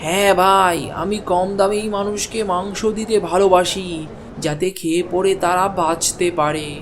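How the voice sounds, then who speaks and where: A man voicing a greedy, cheating male meat seller in a cartoon, who talks smoothly and schemes aloud. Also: high voice, level tone, moderate pace, slight background noise, voice pushed down, natural voice. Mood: coaxing. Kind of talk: cartoon voice acting.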